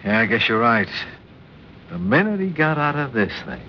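A level-headed young naval officer, played by a man in an old radio play. His mid-pitched voice moves up and down freely as he reasons things out, loyal and matter-of-fact. The recording is noisy. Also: sing-song, normal speed, highs cut off, natural voice. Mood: reflective. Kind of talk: 1960s radio drama acting.

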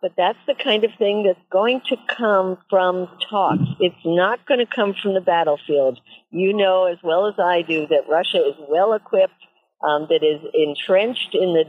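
Lower-voiced woman on a tinny line. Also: measured pace, dry recording. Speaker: guest commentator. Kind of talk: measured political argument. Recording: tinny phone-like radio line.

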